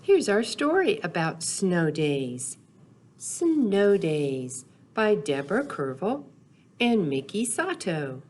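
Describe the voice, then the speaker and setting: A woman's voice: lowish voice, very animated, takes it slowly, darkish tone. A woman leading a storytime for young children, reading aloud and talking in simple, sing-song phrases. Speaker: children's library storytime host. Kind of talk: children's storytime with read-aloud, songs and questions.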